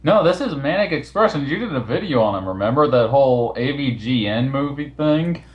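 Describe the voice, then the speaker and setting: A man's voice: mid-range voice, animated, fairly quick, dull audio. A man hosting a casual online voice chat, talking in an informal, matter-of-fact way. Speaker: host of the recorded chat. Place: online voice call.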